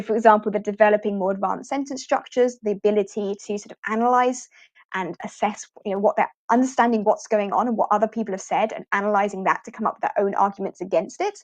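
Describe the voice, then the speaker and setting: A woman's voice: mid-range voice, no room sound. A British woman from the UK, an online English teacher, rambling chattily through a live walkthrough, with fillers and light laughs. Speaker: online ESL teacher hosting a livestream.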